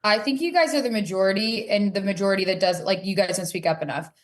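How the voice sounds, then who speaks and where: A woman's voice: mid-range voice, fast talker. A woman chatting casually and candidly as a guest on a sports podcast. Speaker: podcast guest, competitive player. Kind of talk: casual chat.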